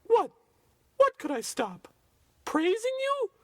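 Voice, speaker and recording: A woman's voice: extremely high pitch, huge pitch swings, slow, no echo, studio quality, heavily acted. A woman playing a gleefully grateful, pious old nun in a parody old-time radio play, chirpy and folksy. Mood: gushing praise.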